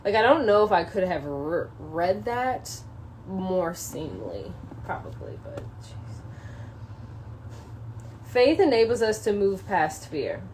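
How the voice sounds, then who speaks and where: A woman on a casual solo livestream, halting and thinking aloud as she chats and reads from a book. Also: medium pitch, very animated, darkish tone, poor audio. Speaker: social media live streamer. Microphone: phone propped up in a window. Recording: clear background noise, ambient sound.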